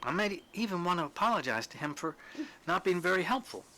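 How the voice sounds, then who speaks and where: A man's voice: sits high, expressive, fairly quick, pushed and bright, pitched above the performer's own voice. A man reading a storybook role as a plain-spoken, shrewd rustic war veteran with a folksy, blunt way of talking. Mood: kindly, reassuring. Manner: folksy, dialect-laden, blunt. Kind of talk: storybook character dialogue.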